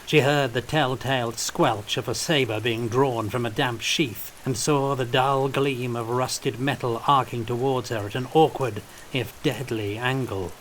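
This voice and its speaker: Guy narrating a fiction audiobook, unhurried, mid pitch, faint rain and hiss behind him. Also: steady tone, a bit bright, poor audio.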